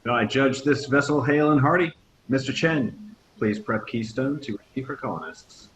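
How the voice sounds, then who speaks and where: A man's voice: mid-range voice, animated, moderate pace, fairly bright tone, a little hiss or hum, natural voice, poor audio. A man playing a stoic starship captain giving a formal address at a table read. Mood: commanding.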